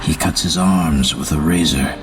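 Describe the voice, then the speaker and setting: An actor playing a somber, haunted 1920s psychiatrist, narrating his journal in a measured, solemn way for an audio drama. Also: extremely low pitch.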